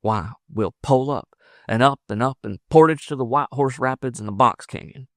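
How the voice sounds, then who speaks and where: A man reading as a bold, lovestruck miner from a frontier storybook. He pushes his words out with emphasis, by turns combative and coaxing, in a medium-pitched voice recorded dry and close. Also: moderate pace, no room sound, natural voice. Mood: excited, boastful. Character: belligerent, ardent gold-rush miner in love, man. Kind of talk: audiobook character dialogue.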